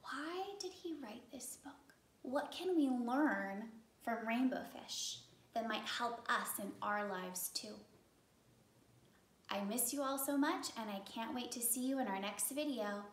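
A woman in her thirties, a teacher reading a children's story aloud expressively, measured, with pauses for effect. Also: a little high. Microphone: camera a few feet away. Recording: roomy, somewhat distant microphone.

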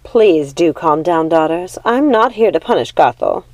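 A woman's voice: on the low side, lively intonation, moderate pace, darkish tone, no room sound, close to an everyday voice. A woman voicing a scornful, wrathful old witch mother in a storybook, mocking and commanding. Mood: scornful amusement.

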